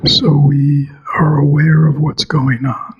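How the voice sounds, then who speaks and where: A man teaching meditation in a measured, halting way with long pauses, as in a guided practice talk. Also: little pitch movement, dull audio. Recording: clear background noise, ambient rumble.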